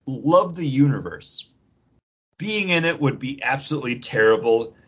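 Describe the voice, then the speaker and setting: Husky man chatting animatedly. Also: mid-range voice, animated, normal speed, fairly bright tone. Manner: profane, acts out imagined quotes mid-talk. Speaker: podcast co-host. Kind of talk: casual, animated pop culture chat. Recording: dull, lo-fi audio.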